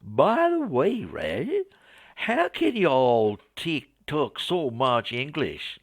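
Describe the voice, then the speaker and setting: A man's voice: a little high, huge pitch swings, slow, no echo, voice pushed up, full character voice. A man voicing a zealous, naive Southern American missionary in a told story, with a folksy, preachy drawl. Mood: earnest zeal.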